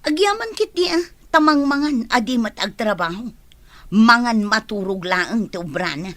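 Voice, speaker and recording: A woman's voice: on the high side, expressive, moderate pace, a bit bright, dry recording. An actress playing a mean, scheming, scolding woman villain in an Ilocano radio drama. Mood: scornful, scolding.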